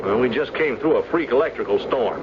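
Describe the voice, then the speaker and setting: A man's voice: medium pitch, lively intonation, fairly quick, natural voice. An actor playing a weary, commanding airline captain in a 1970s radio drama, exasperated and clipped in his radio jargon. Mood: businesslike. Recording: noisy dull old radio broadcast, engine drone.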